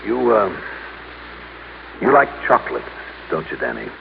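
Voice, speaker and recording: An American actor playing a steady, insistent hard-boiled insurance investigator in a 1960s radio drama. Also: male voice, lowish voice, measured pace, vocal fry, phone-quality sound, heavily acted. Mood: grave, probing. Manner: pointed, insistent questioning. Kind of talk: 1960s radio detective acting. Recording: old, band-limited, hissy radio recording.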